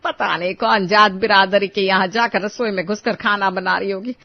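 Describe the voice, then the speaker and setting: A woman's voice: medium pitch, fairly even intonation, moderate pace, dull audio, natural voice. A woman voicing a proud, scandalized elderly mother-in-law who scolds, laments and taunts in a story. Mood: bitter, taunting.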